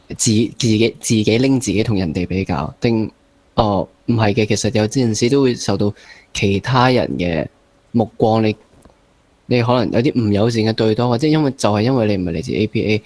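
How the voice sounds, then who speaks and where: Man, Hong Kong accent, fairly low pitch, interview host, casual probing style, long rephrasings with English words mixed in. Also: a little mellow. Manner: rephrases at length, piles up examples, mixes in English.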